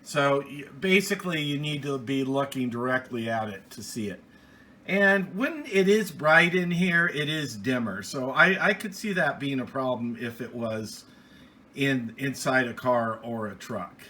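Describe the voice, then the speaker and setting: A man's voice: mid-range voice, animated, unhurried, bright, forceful tone. A middle-aged American man giving a casual, plainspoken, rambling product review from a small home office. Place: small indoor home office radio room.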